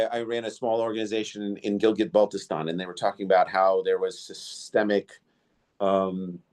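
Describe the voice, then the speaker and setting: Male podcast host with Indo-Greek as first language, slightly low voice, loose, filler-heavy storytelling. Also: a little mellow. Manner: rambling, many "you know" fillers, restarts. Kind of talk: casual interview storytelling.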